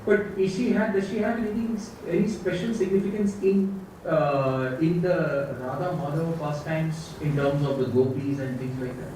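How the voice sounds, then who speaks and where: A man, a student posing a question in class in a halting way, often saying "you know". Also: on the high side, steady tone, mellow. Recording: very echoey room, distant mic, background noise.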